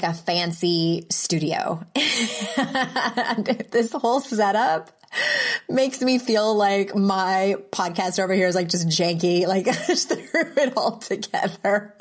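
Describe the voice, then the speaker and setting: A woman hosting her podcast in casual chat, self-deprecating and laughing often, with a slightly nasal voice on a professional microphone. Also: on the low side. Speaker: podcast host.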